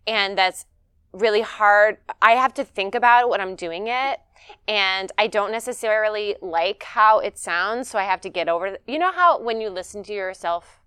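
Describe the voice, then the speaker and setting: A woman with a low voice, an actress guest, speaking in an unhurried way and laughing often. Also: bright, forceful tone, smooth, dry recording, clean studio sound. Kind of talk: casual interview chat.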